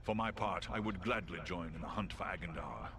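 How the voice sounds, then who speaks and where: A man's voice: low-pitched, fairly quick, noisy background. A man voicing a noble, dutiful giant eagle in a fantasy game, speaking formally and solemnly. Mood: solemn regret. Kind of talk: fantasy video game cutscene acting. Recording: music underneath.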